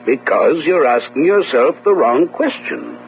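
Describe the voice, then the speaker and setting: An actor playing a reclusive, self-assured French gentleman detective in an old radio drama, urbane, measured and condescending. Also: mid-range voice, big pitch swings. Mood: probing, confident. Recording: tinny old radio broadcast, humming noise.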